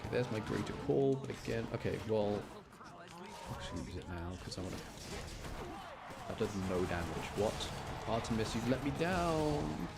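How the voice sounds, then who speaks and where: Low-pitched man rambling through a game stream. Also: lively intonation, moderate pace, gravelly. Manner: rambling, self-interrupting, mild grumbling. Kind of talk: casual live gameplay commentary to chat. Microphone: close streaming microphone. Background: game music.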